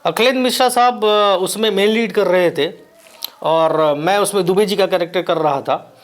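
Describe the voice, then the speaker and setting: A man from Bihar whose first language is Maithili, answering interview questions as an actor in a measured, reflective way with frequent pauses. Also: sits high. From Bihar, India.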